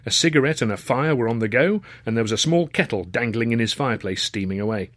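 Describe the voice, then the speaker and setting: A man with an English accent reading a first-person story in a wry, dryly humorous way, slightly husky. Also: medium pitch, animated, fast talker, a bit bright, dry recording, studio quality. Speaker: author reading his own novel.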